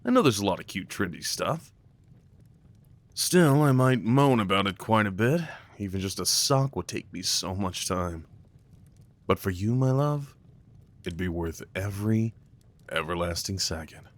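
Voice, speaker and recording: Affectionate boyfriend male actor, lowish voice, animated delivery; tender, teasing ASMR roleplay. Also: measured pace. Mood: relaxed, rambling. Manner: tender, lightly teasing, rambling. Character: affectionate, playful, crafty boyfriend cuddling his partner.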